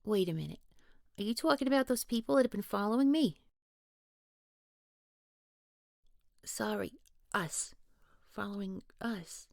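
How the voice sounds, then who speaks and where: A woman's voice: medium pitch, animated, moderate pace, gravelly, no room sound, clean studio sound, pitched above the performer's own voice. A woman voicing a nervous, easily spooked young woman who rambles in a scripted audio roleplay. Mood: worried.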